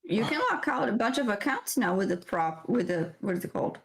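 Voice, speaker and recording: A woman from the American South chatting casually on a livestream, blunt and quick to laugh. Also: mid-range voice, expressive, gravelly, low-quality recording. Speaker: trading stream co-host.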